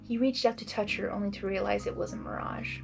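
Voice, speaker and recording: A woman reading a story expressively and breaking into casual, chatty, excitable reactions. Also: mid-range voice, fairly quick, vocal fry, music in the background. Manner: excitable, giggly asides. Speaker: fan fiction reader and reactor.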